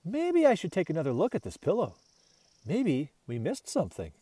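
A man reading a children's storybook aloud in an expressive narrating style. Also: fairly high pitch, moderate pace, husky, dry recording. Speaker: storybook reader.

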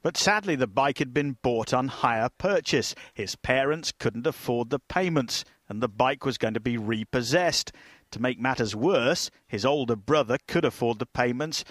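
A man's voice: mid-range voice, lively intonation, moderate pace, a bit bright, no echo, clean studio sound. Middle-aged British man narrating a TV feature. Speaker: TV reporter.

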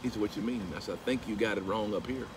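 A middle-aged man telling a story to his phone camera outdoors by a pool, slipping into animated preaching as he quotes scripture. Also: on the low side, quick pace. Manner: animated, rising into emphatic preaching when quoting scripture. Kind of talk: casual storytelling vlog with preaching. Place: outdoors beside a pool at a house. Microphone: phone camera at arm's length. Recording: outdoor recording with steady background noise.

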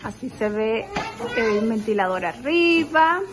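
A woman's voice: a little high, animated, slow, background noise. A woman vlogger giving a chatty, enthusiastic house tour of a beach rental, filming with her phone as she walks. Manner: chatty, enthusiastic, addresses viewers as girls. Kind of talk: casual house-tour vlog. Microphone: phone held while walking.

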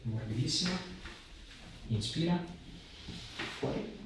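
A man's voice: mid-range voice, animated, takes it slowly. A man in his thirties, an osteopath giving a patient instructions and chatting casually during treatment. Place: small indoor clinic treatment room. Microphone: camera a few steps away. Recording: echoey treatment room, distant mic, background noise.

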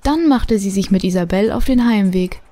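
A woman giving calm, scripted narration as the storyteller of a German audio drama. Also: medium pitch, normal speed, natural voice.

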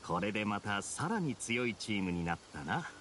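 A man playing an easygoing, suave young captain in an anime game, with a smooth, unhurried way of talking. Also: lowish voice, big pitch swings, a bit bright, slight background noise. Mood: approving. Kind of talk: Japanese anime game acting.

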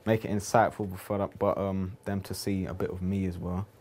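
A man's voice: low-pitched, little pitch movement, brisk, a little mellow, a little hiss or hum. A young man with an English accent talking casually and reflectively in a documentary interview.

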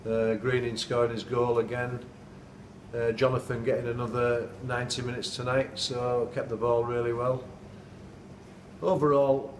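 Football manager, flat and roomy. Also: male voice, medium pitch, recorded from a distance. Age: middle-aged. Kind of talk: post-match interview. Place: indoors at night, dim room. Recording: echoey room, off-mic, noisy.

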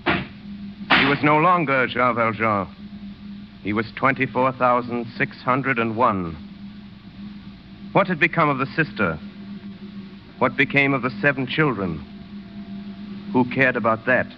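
A man narrating a 1930s radio drama as a grave storyteller, in solemn, measured, dramatic short phrases. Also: medium pitch, lightly acted. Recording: dull, noisy 1930s radio recording.

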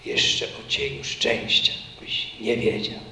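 A man in his thirties recites poetry slowly and theatrically, each phrase given weight. His voice is bright and forceful, caught by a stand mic on a concert stage. The hall adds a roomy echo. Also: mid-range voice, fairly even intonation, vocal fry, a little hiss or hum. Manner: slow, emphatic, theatrical delivery. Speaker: singer and reciter. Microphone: vintage stand microphone.

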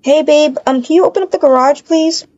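Soft, high-voiced sweet young mom, acted by a woman. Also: brisk, muffled recording, close to an everyday voice. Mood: sweet, casual. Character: sweet doting young wife and mother. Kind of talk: amateur voiced game roleplay.

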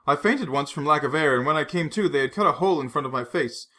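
A man's voice: a little high, animated, fast talker, a bit bright. A man reading aloud and voicing a shaken, apologetic young woman with an earnest, well-spoken manner. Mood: recounting.

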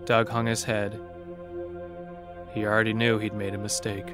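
A man's voice: fairly low pitch, little pitch movement, moderate pace. A man reading scripted narration for a horror story as its narrator. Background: faint music.

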